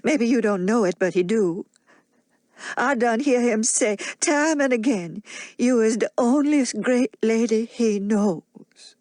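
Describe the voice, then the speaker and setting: A woman's voice: on the high side, takes it slowly, darkish tone, no echo, studio quality. Woman doing Mammy, a fretful old Southern nanny. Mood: pleading. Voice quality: heavy dialect, plainspoken. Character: old woman nanny, devoted, frightened, earthy.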